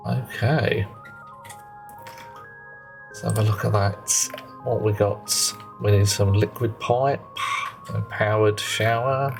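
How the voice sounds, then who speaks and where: Gravelly, slow-talking man streaming a game. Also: fairly low pitch, darkish tone. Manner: rambling, self-correcting, chatty with viewers. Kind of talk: casual live gameplay commentary. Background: faint music and a child playing nearby.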